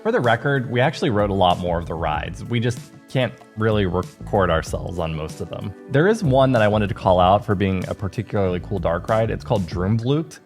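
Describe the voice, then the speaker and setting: Lower-pitched man vlogging over music. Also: lively intonation, fairly quick, few pauses, darkish tone. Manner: informal, conversational. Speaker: travel vlogger, co-host. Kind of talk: casual vlog narration and chat.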